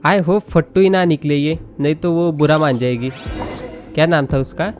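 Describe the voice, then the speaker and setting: A man's voice: a little high, very fast, a little mellow. A man with an Indian accent giving casual, joking gameplay commentary as a gaming host. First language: Hindi. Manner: informal, joking, mixes Hindi with English. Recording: game music behind commentary.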